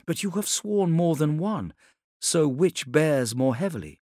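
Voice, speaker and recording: A male narrator as an earnest woman counsellor in an audiobook. The voice is pitched a little higher, with lively inflection and a direct, probing, insistent manner. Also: measured pace, dry recording, clean studio sound.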